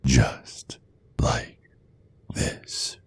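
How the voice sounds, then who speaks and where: A man playing a menacing, possessive vampire neighbor in an intimate ASMR roleplay, speaking in a slow, teasing, seductive way straight to the listener. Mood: taunting, menacing. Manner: slow, teasing, deliberate, with breathy drops toward a whisper.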